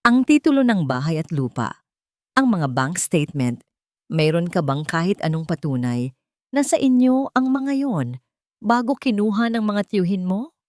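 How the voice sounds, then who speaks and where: A Filipino woman voicing a calm, professional male lawyer in a dramatic story, speaking in a measured, gentle way. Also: lowish voice, dry recording, clean studio sound. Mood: probing, professional.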